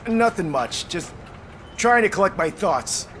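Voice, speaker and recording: An uneasy young-sounding male officer in a video game. His voice sits fairly high and moves around a lot, hesitant and withdrawn, with faint background noise. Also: animated, unhurried. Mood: uneasy, polite. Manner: hesitant, nervous.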